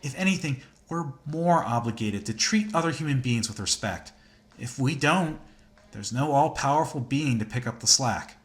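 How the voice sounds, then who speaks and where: An actor playing a skeptical, opinionated atheist humanist in a video game, with a casual, wry and naturalistic delivery. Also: male voice, mid-range voice, animated, moderate pace, lightly acted. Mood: earnest conviction. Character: skeptical, opinionated atheist man doing humanist charity work.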